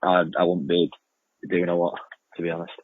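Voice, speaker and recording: Deep-voiced, husky young man. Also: flat delivery, a little fast. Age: young adult. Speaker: interview guest, amateur music producer and podcaster. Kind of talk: casual radio interview answers. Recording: muffled lo-fi, roomy echoing remote link.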